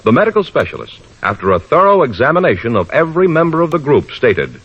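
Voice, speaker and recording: A man's voice: fairly high pitch, sing-song, fast talker, a bit bright, breathy edge, dull audio. Emphatic, swooping old-time radio ad man. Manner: emphatic, formal delivery. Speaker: radio commercial announcer. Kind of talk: 1950s radio advertisement read.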